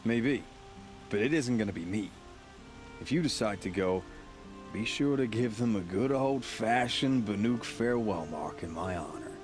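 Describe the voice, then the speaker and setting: A man's voice: lowish voice, expressive, normal speed, soft background music, natural voice. An actor playing a blunt, disgruntled male hunter in a video game, speaking in a dry, sardonic way. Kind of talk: video game dialogue acting.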